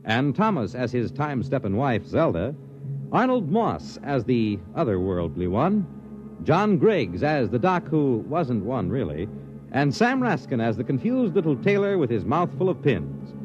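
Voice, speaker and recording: A man's voice: medium pitch, big pitch swings, unhurried. An American man reading a script as a 1950s radio announcer, formal, dramatic and emphatic. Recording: muffled 1950s radio recording, music behind.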